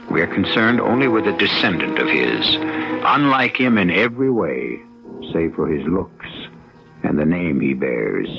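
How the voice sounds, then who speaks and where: A man narrating a radio drama in a portentous, deliberate, scripted storytelling style. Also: sits low, creaky. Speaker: host and narrator. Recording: dull old radio broadcast recording. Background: dramatic music.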